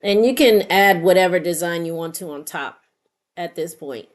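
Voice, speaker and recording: A woman's voice: lowish voice, normal speed. A middle-aged woman narrating an informal step-by-step tutorial, unscripted and thinking aloud as she works. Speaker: craft tutorial host.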